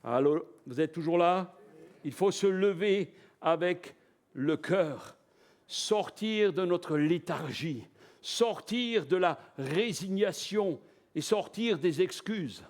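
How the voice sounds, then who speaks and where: A man preaching a live church sermon, lively, asking the congregation rhetorical questions. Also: high voice, slow. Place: church service before a congregation.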